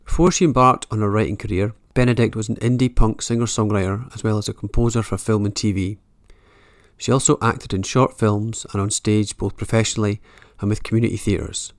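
A man's voice: lowish voice, steady tone, brisk. A man speaking as the interviewer on a books podcast.

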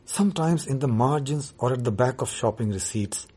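A man whose first language is Urdu, talking about his writing as a poet in a measured, deliberate way. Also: mid-range voice, dark tone, breathy edge. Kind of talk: reflective talk on his writing.

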